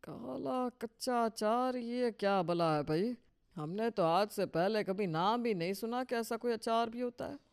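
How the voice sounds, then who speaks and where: A man's voice: extremely high pitch, measured pace, vocal fry, smooth, full character voice. A man playing a henpecked older father-in-law in a Hindi cartoon story, teasing and placating in a colloquial, folksy way. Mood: puzzled.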